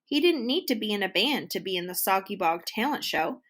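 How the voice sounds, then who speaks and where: A woman storyteller reading a children's storybook aloud in an expressive, deliberate way. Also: medium pitch. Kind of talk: scripted children's storybook narration.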